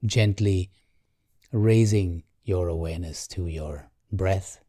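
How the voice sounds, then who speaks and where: A man guiding a meditation in a calm, gentle, measured way, leaving long pauses. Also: low voice, dry recording, studio quality. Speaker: meditation guide. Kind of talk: guided meditation, slow and soothing.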